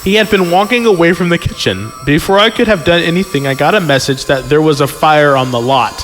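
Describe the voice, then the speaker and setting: A man reading a story aloud as a podcast host, giggly and excitable, often breaking off into casual joking asides. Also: fairly high pitch, brisk. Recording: music behind the voice.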